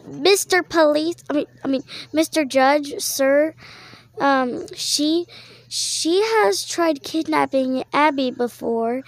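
A woman voicing an earnest, self-righteous pregnant accuser, halting and plaintive, in an amateur toy roleplay. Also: sits high, no room sound.

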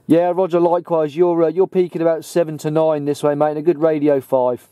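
A man's voice: a little high, flat delivery, a little fast, dark tone, clean tone. A man giving brief operator replies over a two-way CB radio.

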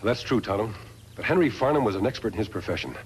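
A noble masked cowboy hero played by a male actor with a fairly low, measured voice. He speaks earnestly and with authority, as in 1950s radio westerns, over faint recording noise. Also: animated, close to an everyday voice, poor audio.

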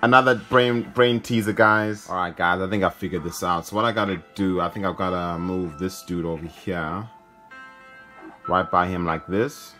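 A man's voice: sits low, steady tone, normal speed, sharp tone. A man hosting a gaming video, commentating casually and thinking aloud in an informal way. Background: game music.

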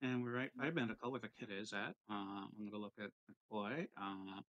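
A man's voice: lowish voice, fairly quick, a bit bright, dry recording, muffled recording. A man chatting casually as a player in a tabletop game.

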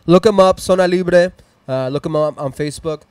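Upbeat Mexican-accented studio host. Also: male voice, a little high, normal speed, darkish tone, clear, resonant tone, no room sound. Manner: rambling, upbeat, plugs sponsors and socials. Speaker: host of a live music stream. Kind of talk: casual chat and shout-outs. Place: small decorated studio room, indoors. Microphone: handheld mic.

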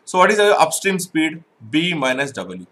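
A man's voice: on the high side, very animated, moderate pace, fairly bright tone. A middle-aged man teaching an exam-prep math lesson online, explaining step by step and often checking "right?" with his students.

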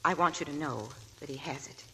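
A woman's voice: low-pitched, brisk, bright, forceful tone, poor audio. An actress playing an anxious, genteel older mother of an old family, gracious and hesitant, in 1940s radio acting. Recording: old radio broadcast with faint noise.

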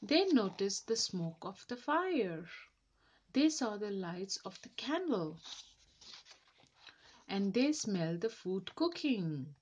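A woman reading a children's story aloud in a careful, deliberate and expressive way. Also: medium pitch, husky, lo-fi sound. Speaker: storybook reader.

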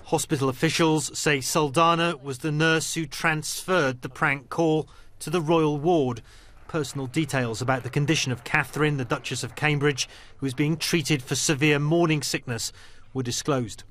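A man's voice: a little high, a bit bright. A man with a British accent narrating a scripted television news report as a correspondent.

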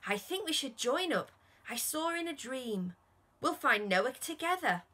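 A woman's voice: high voice, expressive, measured pace, pushed and bright, voice pushed up, heavily acted. Woman voicing a curious, lilting little storybook penguin. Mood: eager.

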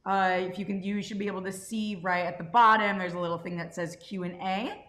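A woman's voice: medium pitch, steady tone, brisk, a bit bright. A young adult woman informally hosting a question session as host and writer over a video call. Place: indoors on a group video call. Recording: roomy echo on video call.

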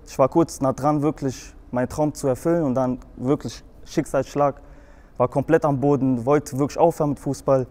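A young man from Frankfurt with a light Hessian accent, recounting his past. He rambles, and the telling is full of hesitations and restarts. His voice sits in an ordinary middle pitch. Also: fairly even intonation, normal speed, warm tone. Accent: Hessian German. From Germany, Frankfurt. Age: young adult. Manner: rambling, many fillers and restarts. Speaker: guest in a show video. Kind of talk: telling his life story.

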